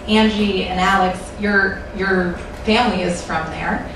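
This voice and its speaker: A woman moderating a panel discussion, asking her questions in a slow, measured way. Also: medium pitch, steady tone. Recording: echoey hall, distant mic, noisy.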